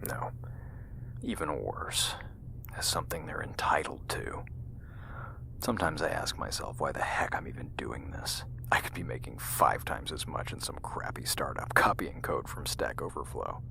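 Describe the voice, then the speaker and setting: An actor playing an embittered, world-weary scientist in an audio drama, intense and confiding in manner. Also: male voice, very deep voice, expressive, moderate pace, gravelly, pushed and bright, in a whisper, full character voice. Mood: impassioned, indignant, then bitter, weary. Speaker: actor in fiction podcast. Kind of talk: audio drama dialogue and narration.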